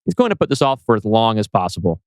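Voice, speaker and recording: A man's voice: lowish voice, sing-song, fast, darkish tone, clear, resonant tone, no room sound, studio quality. A man reading scripted screenplay narration as writer and narrator.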